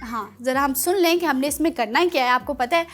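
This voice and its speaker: A woman with a Pakistani accent presenting a children's show in a playful, chatty, animated way. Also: sits high, fairly quick, over music. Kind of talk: playful quiz and segment presenting.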